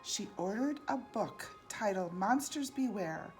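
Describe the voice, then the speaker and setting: Slow, very lively woman reading a children's story. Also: mid-range voice, faint music behind. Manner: expressive, singsong, deliberate delivery. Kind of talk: read-aloud children's storytelling in rhyme.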